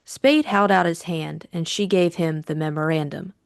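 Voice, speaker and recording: A woman's voice: low voice, fairly even intonation, normal speed, gravelly, dry recording, studio quality. A woman reading a novel as an audiobook narrator, in scripted dramatic narration.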